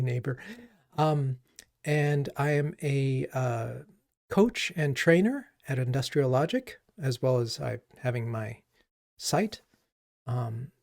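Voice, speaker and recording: Man, livestream host, unhurried pace, casual chat, halting thinking-aloud delivery, mid pitch, dry close sound. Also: a little mellow, dry recording. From Japan. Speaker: coding livestream host, coach and trainer.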